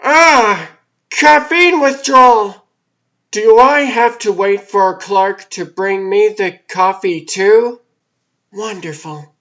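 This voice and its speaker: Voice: mid-range voice, takes it slowly, pushed and bright. A performer voicing an exasperated, sarcastic young city woman with emphatic delivery in a comic dub. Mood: irritated. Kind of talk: comic dub character acting.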